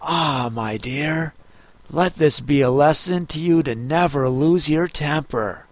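A man voicing a preachy old mother crab in an audiobook, slow and soft. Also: a little high, no echo. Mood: lecturing.

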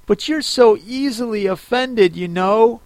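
Eager little girl done by a male reader, high lifted voice, dry close sound. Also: animated, slow, dry recording, full character voice. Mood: pleading. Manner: eager, pleading. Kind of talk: audiobook character voice.

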